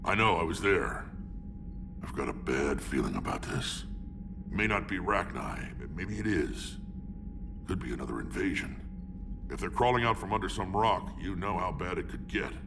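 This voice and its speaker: An actor playing a gruff, battle-hardened alien warlord in a video game, proud and wry, with blunt delivery and a growling voice. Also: male voice, very animated, normal speed, far from the mic, noisy background, exaggerated voice. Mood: grim, urgent. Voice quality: deep gravelly growl. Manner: blunt, sardonic, weighty delivery. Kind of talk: video game character acting.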